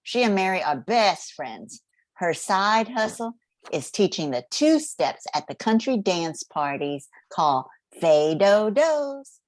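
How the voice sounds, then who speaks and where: A woman's voice: medium pitch, sing-song, slow, dry recording. An older woman playing a sociable retired librarian and dance champion in an amateur radio play. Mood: friendly introduction. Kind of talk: amateur radio drama reading.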